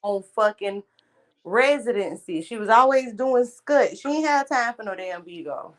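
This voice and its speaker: A woman's voice: a little high, expressive, normal speed. An American woman chatting casually and bluntly in a group, swearing freely and sometimes stuttering. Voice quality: occasional stutter. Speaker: participant in a group live stream. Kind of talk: casual chat.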